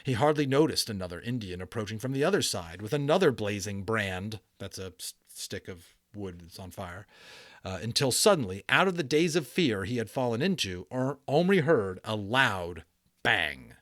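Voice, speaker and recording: A man's voice: fairly low pitch, very animated, normal speed, fairly bright tone, dry recording, studio quality. A man narrating a storybook in a dramatic reading, with theatrical emphasis and a storyteller's delivery. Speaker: audiobook narrator.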